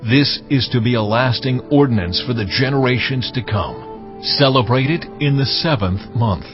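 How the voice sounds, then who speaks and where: A man playing God in a dramatized audio Bible, giving laws in a solemn, measured, commanding manner. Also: medium pitch, expressive, close to an everyday voice. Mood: solemn, instructing. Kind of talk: dramatized scripture reading. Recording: dull lo-fi audio, music underneath.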